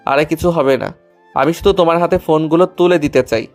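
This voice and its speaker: A man's voice: fairly high pitch, brisk, a little mellow, faint music behind, natural voice. A man playing a lovestruck, evasive younger brother, an eager young man in an audio story. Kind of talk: Bengali audio story acting.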